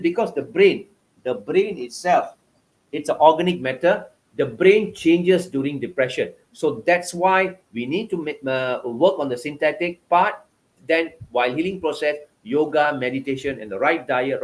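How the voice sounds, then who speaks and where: A man's voice: on the high side, poor audio. Emphatic Malaysian man on a video call. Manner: passionate, emphatic, repetitive phrasing. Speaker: guest author. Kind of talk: interview answers.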